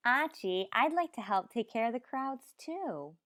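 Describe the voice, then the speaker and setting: A woman's voice: fairly high pitch, expressive, a little slow, fairly bright tone, no echo, clean studio sound. A woman playing an eager, naively vain young lady in an old-time radio comedy.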